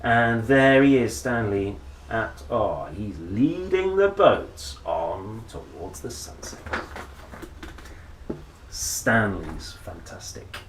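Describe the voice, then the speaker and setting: A man reads a picture book to children with playful, swooping expression and an unhurried pace. His delivery is animated, slipping into chatty asides to the listener. The room adds a clear echo around his voice. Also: lowish voice. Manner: playful, expressive, dramatic pauses and exclamations. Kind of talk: animated storybook read-aloud with chatty asides. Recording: roomy echo, faint background noise.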